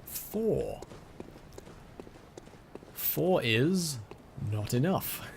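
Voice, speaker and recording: A man's voice: on the high side, sing-song, extremely slow, background noise. A man streaming a game late in a long session, tired and losing his voice, muttering wry asides to himself and his chat. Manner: muttering, self-deprecating, talking to himself and chat. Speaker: let's play streamer. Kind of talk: casual gameplay commentary.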